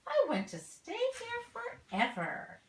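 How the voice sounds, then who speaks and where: A woman voicing a bossy, excitable mother bird from a storybook, with a fussy, emphatic delivery. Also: very high voice, slow pace, pitched above the performer's own voice, heavily acted. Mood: delighted. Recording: roomy home recording, faint noise.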